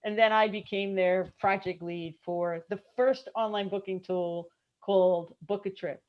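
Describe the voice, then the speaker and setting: A woman's voice: mid-range voice, unhurried. Chatty Brooklyn woman, dull audio. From Brooklyn, New York. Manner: lively, chatty, laughs often. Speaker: guest, travel industry consultant. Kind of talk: casual interview chat.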